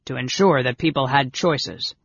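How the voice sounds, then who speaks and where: A man's voice: mid-range voice, normal speed, vocal fry, fairly bright tone, slightly rough, dry recording, highs cut off. A man voicing a loyal middle-aged warrior uncle in a fantasy audiobook, plain-spoken, sincere and dignified.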